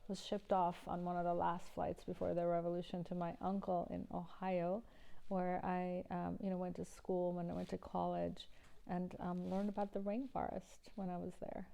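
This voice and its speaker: A woman's voice: fairly low pitch, little pitch movement, moderate pace, creaky, dark tone. A middle-aged woman from Iran, an environmental activist, answering interview questions earnestly with many restarts and fillers.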